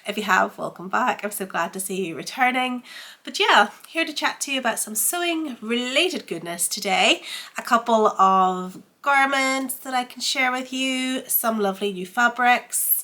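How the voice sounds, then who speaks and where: Congested, bright-voiced woman vlogger. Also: fairly high pitch, lively intonation, normal speed. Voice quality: congested, head-cold voice. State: under the weather with a head cold. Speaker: host of a sewing vlog. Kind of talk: casual chatty vlog to camera. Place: home sewing room.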